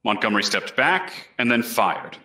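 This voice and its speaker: A man's voice: mid-range voice, expressive, moderate pace, pushed and bright. A man playing an earnest colonial Crown prosecutor, formally addressing a jury in a historical courtroom reenactment. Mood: formal, measured. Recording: echoey room.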